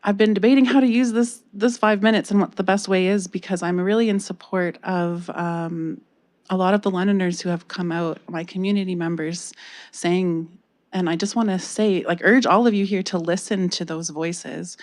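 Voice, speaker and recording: A woman with a Canadian accent speaking softly and hesitantly into a podium microphone, giving public comment in a council chamber. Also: lowish voice, fairly even intonation, normal speed. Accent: Canadian English. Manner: soft-spoken, hesitant, earnest. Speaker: public delegate.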